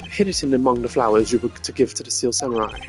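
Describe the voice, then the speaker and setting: A man's voice: medium pitch, quick pace, faint music behind, natural voice. A man voicing a determined, composed prosecutor in a video game, speaking formally and articulately. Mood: accusing.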